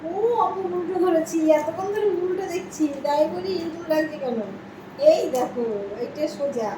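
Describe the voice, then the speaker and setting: A woman's voice: very high-pitched, moderate pace. A woman chatting casually to viewers in a vlog, often asking what they think. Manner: chatty, repeatedly asking viewers for their opinion. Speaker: vlogger. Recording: roomy, distant mic, clear background noise.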